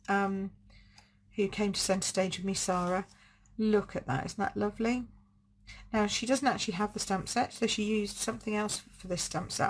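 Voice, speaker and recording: A slightly croaky woman, gushing chattily over craft supplies on a home live stream. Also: medium pitch, a little hiss or hum. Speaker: card-making demonstrator hosting a live stream. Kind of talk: casual live-stream show and tell.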